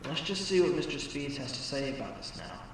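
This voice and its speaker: A man's voice: on the high side, big pitch swings, fairly quick, slight background noise. A man voicing a cocky young fox defense lawyer, smug and pointed, in a fan audio drama. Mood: nervous resolve.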